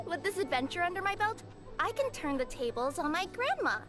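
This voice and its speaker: A bubbly young cat girl chats in a very high, cute voice. She is perky and friendly, an anime game courier with music playing clearly behind her. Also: sing-song, moderate pace. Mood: delighted. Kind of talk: scripted anime-style video game dialogue.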